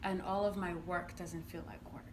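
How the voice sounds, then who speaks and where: A woman's voice: fairly low pitch, flat delivery, normal speed. A woman from Uganda giving conversational interview answers in an earnest, explanatory manner, often checking in with "right?". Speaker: interviewed entrepreneur and youth programme coach. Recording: clearly noisy room ambience.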